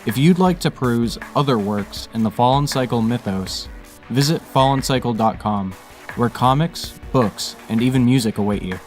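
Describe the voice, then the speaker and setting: A man's voice: mid-range voice, moderate pace, soft background music. A man reading scripted material as the narrator and host of a fiction podcast.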